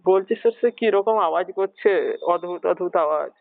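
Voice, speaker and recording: A man's voice: sits high, moderate pace, gravelly, dry recording. A man making a prank phone call, hesitant, rambling and pleading as he poses as a worried parent. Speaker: prank caller.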